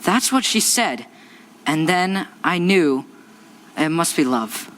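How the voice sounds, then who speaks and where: A young actress playing a clumsy, cheerful groom. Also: female voice, lowish voice, expressive, slow pace, a bit bright. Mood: breezy. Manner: breezy, chatty, bursting into song. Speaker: child actor in a musical.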